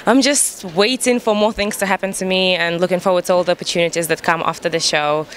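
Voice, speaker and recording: A young woman whose first language is Russian, with a mixed London and Russian accent, answering interview questions softly and sounding tired. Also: on the low side, steady tone, quick pace, slight background noise. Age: young adult. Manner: soft-spoken, laughs lightly. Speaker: model and interviewee. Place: large indoor hall at a fashion show. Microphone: handheld mic held by interviewer.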